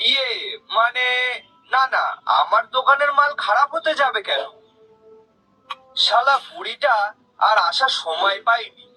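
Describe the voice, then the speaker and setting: A crooked, greedy village shopkeeper from a cartoon, acted by a man. His voice sits extremely high, with a hard, piercing edge and a husky grain. He wheedles and blusters like a born cheat. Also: a little slow, long pauses, pushed and bright, faint music behind, exaggerated voice, low-quality recording. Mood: defensive, wheedling. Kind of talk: cartoon character acting.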